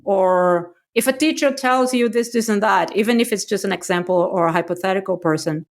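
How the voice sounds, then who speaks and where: A woman's voice: mid-range voice, a little fast. Brazilian woman co-hosting a podcast chat. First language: Portuguese. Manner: animated, laughs often, self-mocking asides. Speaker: podcast co-host. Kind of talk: casual conversation.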